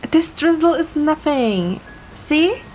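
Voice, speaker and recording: High-voiced, tipsy, stubborn young woman played by a woman. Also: animated, slow pace, a little mellow, slight background noise. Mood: stubbornly tipsy. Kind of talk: romantic audio drama acting with first-person narration.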